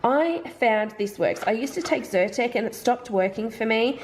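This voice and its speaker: Chatty Australian mum, a bit nasal. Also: female voice, fairly high pitch, lively intonation, normal speed, slight background noise. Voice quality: slightly nasal. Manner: chatty, rambling, thinks aloud about prices. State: hay fever. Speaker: mum vlogger. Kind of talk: casual product haul chat. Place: home.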